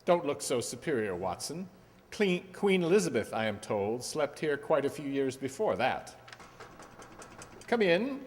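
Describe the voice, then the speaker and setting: Radio mystery sleuth played by a man: urbane, assured, inquisitive, animated melody, long reverb. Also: mid-range voice, unhurried. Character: shrewd, composed gentleman detective. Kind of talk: radio play acting. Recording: echoey hall reverb.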